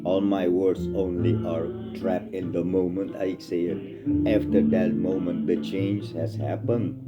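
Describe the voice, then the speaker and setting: A man's voice: deep voice, steady tone, measured pace, muffled recording. A man with a Dutch accent, a native Dutch speaker, giving a blunt, emphatic, rambling spiritual talk in English. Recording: loud guitar music over the voice. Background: loud guitar music.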